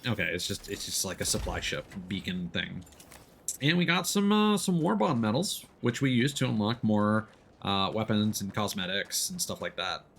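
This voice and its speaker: Low-pitched man commentating on a game. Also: big pitch swings, moderate pace, vocal fry, a bit bright, slight background noise. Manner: explanatory, easygoing. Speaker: game streamer. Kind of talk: casual gameplay commentary, explaining as they play. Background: video game sound.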